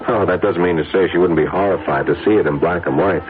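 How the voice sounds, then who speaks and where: Guy playing deep voiced stubborn exec man in old radio drama, kinda uneasy, phone-like sound. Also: fast, close to an everyday voice. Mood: reserved, firm. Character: principled married executive, reserved, uneasy, stubborn. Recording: tinny vintage radio broadcast, faint music.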